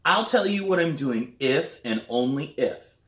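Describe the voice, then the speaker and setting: A man's voice: mid-range voice, lively intonation, measured pace, fairly bright tone, roomy sound, voice pushed up. A man voicing a determined, guarded young teen dealing with a younger sibling in a storybook reading. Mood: bargaining. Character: determined, exasperated older sibling, music-obsessed young teen drummer.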